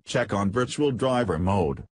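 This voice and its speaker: A man narrating a scripted software tutorial in a flat, read-aloud instructional way. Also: fairly low pitch, measured pace, dry recording, clean studio sound.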